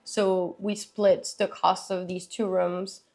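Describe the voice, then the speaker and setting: Slightly hoarse, chatty young woman vlogger. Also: medium pitch, flat delivery, takes it slowly, darkish tone, faint music behind. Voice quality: raspy. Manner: chatty, upbeat, lots of fillers. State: slightly hoarse from recent illness. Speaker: personal finance vlogger. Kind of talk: casual chat to camera. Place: at home, seated with laptop and tea.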